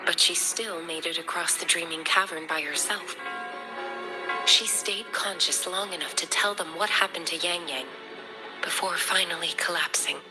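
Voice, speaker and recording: Woman acting as composed female medic, caring, formal, measured delivery, slightly low voice, video game dialogue, music behind. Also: steady tone, close to an everyday voice, low-quality recording. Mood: calm concern.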